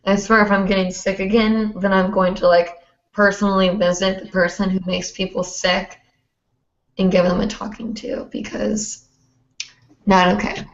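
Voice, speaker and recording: A young woman hosting a hoop dance livestream, hoarse and chatty, rambling with many fillers. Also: mid-range voice, little pitch movement, moderate pace. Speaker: host. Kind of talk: casual livestream chat. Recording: roomy, dull lo-fi stream audio.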